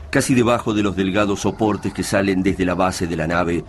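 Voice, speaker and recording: A man's voice: sits low, moderate pace, background noise. A man narrating a radio drama from a script in a solemn, intense, dramatic manner. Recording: clear music under the voice.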